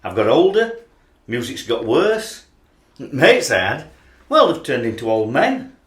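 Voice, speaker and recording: A man's voice: mid-range voice, wildly swooping pitch, a little slow. In a roomy, echoing space, a man plays an ageing Yorkshire rugby fan in a radio drama. He is obsessed and wounded, confessing his doubts in a voice that swings widely with feeling. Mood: anguished, regretful. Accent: Yorkshire English. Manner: anguished, confessional, searching. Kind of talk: radio play acting, emotional monologue and dialogue.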